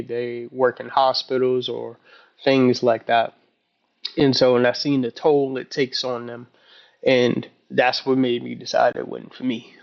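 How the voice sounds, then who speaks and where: Man, early 30s, casual vlog journal, halting and rambling, little pitch movement, slightly dull lo-fi recording. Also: creaky, clean tone. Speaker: vlogger. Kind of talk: casual, personal video journal.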